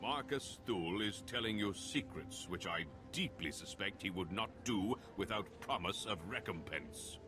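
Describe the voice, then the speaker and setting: A man acts out a proud, suspicious nobleman for a video game. His formal, pompous speech rises and falls widely from a low register. Music plays clearly behind him. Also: lively intonation, normal speed, hardly any pauses, close to an everyday voice. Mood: indignant, cold. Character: haughty nobleman, nephew of a duke, suspicious and formal. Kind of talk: video game cutscene acting.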